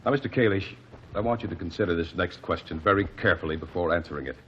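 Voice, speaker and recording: A man's voice: deep voice, racing, natural voice. A man playing a methodical courtroom advocate in a 1949 radio drama, formal and probing as he questions witnesses, on a dull, faintly hissy recording. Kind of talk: 1940s radio drama acting.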